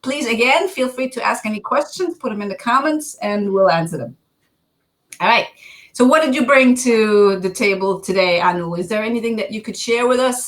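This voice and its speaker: A woman hosting an online talk show, interviewing guests in an upbeat way and laughing often. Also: medium pitch. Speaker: host. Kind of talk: casual online show chat.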